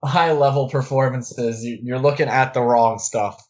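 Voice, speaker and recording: A man's voice: medium pitch, steady tone, normal speed. Man co-hosting a casual film podcast. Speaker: podcast co-host.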